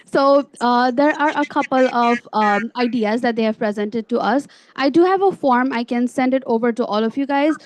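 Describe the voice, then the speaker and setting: A young adult woman speaking as a student government officer giving a report at a meeting. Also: a little high. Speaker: student government vice president.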